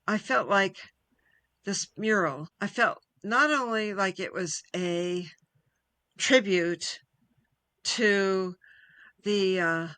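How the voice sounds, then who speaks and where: A woman speaking as a guest poet in a conversational interview, earnest and deliberate in her phrasing. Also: mid-range voice, fairly bright tone, clear, resonant tone, no echo. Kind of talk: conversational interview about poetry.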